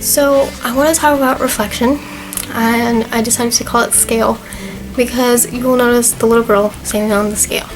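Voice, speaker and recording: A young woman in her twenties with a slightly hoarse, fading voice, talking to camera in a chatty, earnest way with plenty of fillers. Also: a little high, fairly even intonation, normal speed, music in the background, poor audio. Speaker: vlogger. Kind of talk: casual talk to camera.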